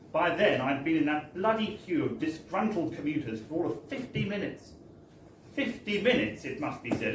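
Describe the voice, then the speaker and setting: Echoey British man reading a comic story live. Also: a little high. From Britain. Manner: chatty, wry, theatrical storytelling with tag-on phrases. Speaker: reader at a book launch. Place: bar, live event. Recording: echoey live bar, distant mic, faint noise.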